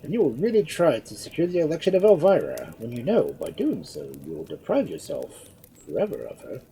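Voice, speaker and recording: A woman's voice: low voice, huge pitch swings, a little fast, mellow, a little hiss or hum, pitched above the performer's own voice, exaggerated voice, rough recording. A woman voicing a genial, indulgent older male duke who speaks heartily and reassuringly in a storybook reading. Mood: hearty.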